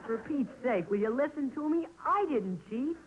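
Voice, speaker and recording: An actor playing an earnest, loyal thirteen-year-old schoolboy, protesting and whiny, in an old-time radio sitcom. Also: male voice, very high-pitched, expressive, moderate pace, breathy edge, heavily acted. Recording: very noisy, dull vintage radio recording.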